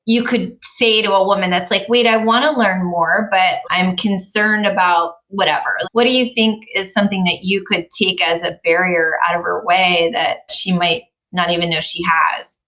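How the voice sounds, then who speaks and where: Female interview host, bright and enthusiastic, affirming her guest. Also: lowish voice, steady tone, normal speed, hardly any pauses, rough recording. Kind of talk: podcast interview.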